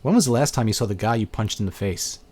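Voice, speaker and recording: A man's voice: lowish voice, animated, fast, a little mellow, breathy edge. Chatty Brooklyn man on a webcam. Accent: New York. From Brooklyn, New York. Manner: chatty, rambling, thinks aloud with fillers. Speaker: host of a live video chat. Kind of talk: casual chat answering viewer questions.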